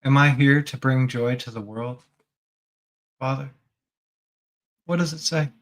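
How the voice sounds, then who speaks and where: Man, medium-pitched voice, spiritual teacher, guided meditation, gentle prompting, reflective questions. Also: unhurried.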